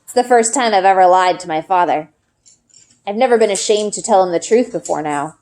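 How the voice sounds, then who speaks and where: A woman narrator giving an expressive read-aloud of a children's novel in the first person. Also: mid-range voice, a little fast.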